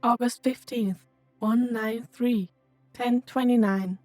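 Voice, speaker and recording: Ship computer voice, female, highish and slow, clipped robotic alerts, music behind, audio drama. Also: fairly even intonation, darkish tone. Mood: impassive, mechanical. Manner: clipped, mechanical announcements. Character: impassive female spaceship computer voice.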